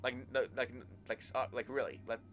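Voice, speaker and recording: A male streamer talks casually through a game in a mid-range voice. He is halting, often repeating words, with clear music playing behind him. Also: mid-range voice, steady tone, fairly bright tone. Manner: halting, repeats words while thinking aloud. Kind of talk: casual live gameplay commentary.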